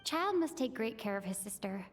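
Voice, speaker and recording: A woman playing a calm, considerate young traveler in a video game, polite and gently inquisitive. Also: mid-range voice, normal speed, faint music behind, close to an everyday voice. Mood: curious. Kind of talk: video game character dialogue.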